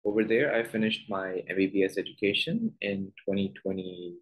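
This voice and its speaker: A man's voice: low-pitched, normal speed. Pakistani man, echoey video call. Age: 20s. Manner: earnest, many "you know" fillers. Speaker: guest doctor. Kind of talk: casual interview answers. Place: small room at home.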